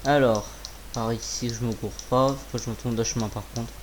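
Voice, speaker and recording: A man with a mid-pitched, slightly hoarse voice commentates casually over his own gaming, talking to himself in loose, slangy speech with swearing. Clear background noise and keyboard clicking sit behind his voice. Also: fairly even intonation, normal speed, rough recording. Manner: informal, muttering to himself, swearing. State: sore throat with a cough. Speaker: gaming video creator. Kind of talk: casual live gameplay commentary.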